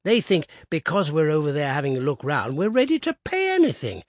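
A man's voice: high voice, big pitch swings, measured pace, dry recording, studio quality, voice pushed up, heavily acted. A man voicing a feeble, talkative old man with a thin, piping, quavering voice. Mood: chattering.

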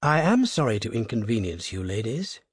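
A man's voice: on the low side, sing-song, moderate pace, husky, dry recording, clean studio sound. A man voicing a courteous but determined masked robber in an audiobook, polite and terse. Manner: polite, terse, quietly commanding. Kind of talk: audiobook character voice.

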